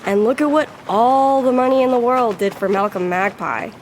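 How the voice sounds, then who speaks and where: A woman's voice: on the high side, normal speed, a little hiss or hum, lightly acted, low-quality recording. A woman playing a sensible, stubborn young boy deckhand with a plain-spoken manner in a scripted audio adventure. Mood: unimpressed.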